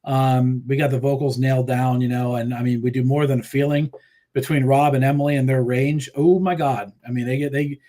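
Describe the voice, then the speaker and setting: Rambling, animated man telling band stories. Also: mid-range voice, a little fast. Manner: rambling, many fillers and restarts; laughs easily, animated storytelling. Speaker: musician guest. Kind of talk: casual interview chat.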